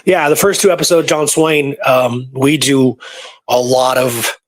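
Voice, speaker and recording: A man's voice: mid-range voice, steady tone, measured pace, rough recording. A man telling stories in a casual, informal, colloquial way, as a guest researcher in a conversation.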